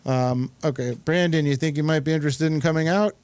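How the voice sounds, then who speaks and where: Halting man hosting a casual chat. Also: on the high side, a little mellow, clean tone, no room sound. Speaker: host.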